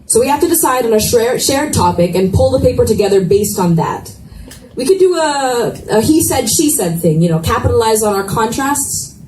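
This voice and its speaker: A woman's voice: a little high, sing-song, normal speed, a little mellow. A woman voicing a blunt, feisty young college student who is easily exasperated, reading dialogue at a live book reading. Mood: brisk, explaining. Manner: blunt, sarcastic, talkative. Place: indoor school event room. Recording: echoey room, distant mic, faint noise.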